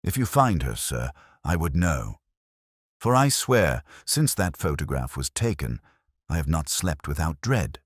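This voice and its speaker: A man plays an anxious young draper from a Victorian audiobook mystery. He is deferential and plain-spoken, with a very low voice that rises and falls. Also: big pitch swings, a little slow, husky, no echo, studio quality, exaggerated voice. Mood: fearful, earnest. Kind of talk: audiobook detective story.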